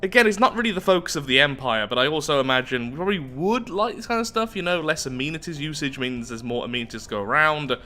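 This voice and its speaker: A man's voice: on the high side, animated, quick pace, few pauses, pushed and bright. A man giving chatty roleplay commentary on a strategy game, thinking aloud as he goes. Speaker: gaming YouTuber host.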